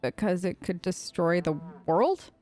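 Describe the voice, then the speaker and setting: A woman's voice: fairly low pitch, expressive, a little slow, a little mellow, soft background music. A woman hosting a role-play let's play, chatting casually in character in an earnest, playful way. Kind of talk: casual in-character game commentary.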